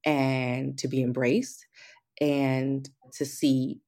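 Casting: young American woman, low-pitched, animated, self-assured interview guest. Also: extremely slow. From United States. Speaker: guest, hairstylist. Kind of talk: casual interview chat.

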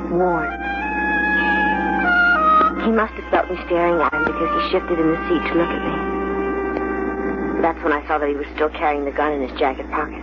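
A woman's voice: low-pitched, steady tone, normal speed, pauses often, loud music behind the voice, natural voice. An actress playing an apprehensive fugitive woman who narrates and questions reflectively in a 1970s radio drama. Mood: reflective, uneasy. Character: uneasy fugitive woman in love, narrating her flight. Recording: dull vintage 1970s radio recording.

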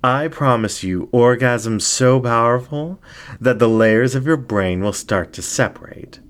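Man voicing a slow, seductive, domineering fox ringmaster. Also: medium pitch, voice pushed up, natural voice. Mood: menacingly seductive. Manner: slow, grandiose, coaxing. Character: seductive, domineering male fox incubus ringmaster, theatrical and commanding.

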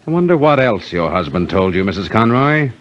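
An actor playing a calm, probing police inspector in a 1950s radio mystery, questioning in a measured, dryly skeptical way. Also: male voice, on the low side, muffled recording. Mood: skeptical, pressing. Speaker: actor in a radio detective drama. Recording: old 1950s radio recording, faint music.

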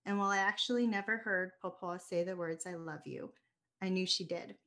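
A woman's voice: fairly low pitch, brisk, sharp tone. Earnest woman hosting a storytelling podcast. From United States. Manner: reflective and earnest, laughs lightly, restarts words. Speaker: podcast host. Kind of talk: personal storytelling podcast monologue.

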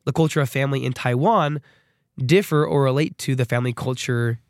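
A man's voice: mid-range voice, fairly quick, no echo. A young man hosting a student radio interview in a studio, upbeat and asking short questions. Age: young adult. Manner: upbeat, brief prompts.

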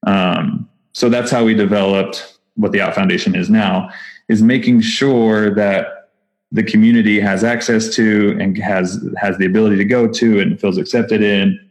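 Texas guy being interviewed, kinda low voice, excited and rambly, says um a lot. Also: moderate pace. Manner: enthusiastic, chatty, frequent fillers and restarts. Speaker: nonprofit founder, podcast guest. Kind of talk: casual interview talk.

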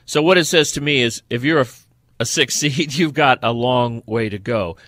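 A man hosting a sports podcast, talking football in a halting way with repeated words. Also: medium pitch, a bit bright, no room sound, clean studio sound. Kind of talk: football discussion.

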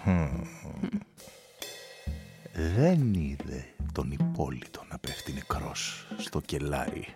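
A man's voice: rumbling low, huge pitch swings, pauses often. Theatrical male radio-play narrator over music. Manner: playful and theatrical, swinging from hushed murmurs to sung phrases. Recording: studio radio play with loud music underneath.

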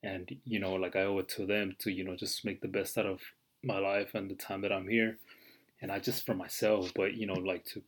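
Low, flat-voiced man in his twenties. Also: fairly quick. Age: 20s. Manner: rambling, heavy fillers, casual swearing. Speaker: podcast host. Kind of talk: casual solo podcast monologue.

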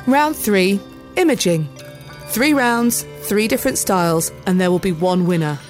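A woman presenter reading a scripted report for a podcast. Her voice is mid-pitched and her delivery upbeat and announcer-like, with faint music under it. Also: animated, a little slow.

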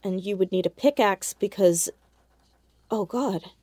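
A woman's voice: mid-range voice, measured pace, dark tone, no echo, close to an everyday voice. An actress playing a determined, questioning American teenage girl investigator in an audio drama, earnest and direct. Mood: uneasy, accusing. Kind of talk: naturalistic audio drama acting.

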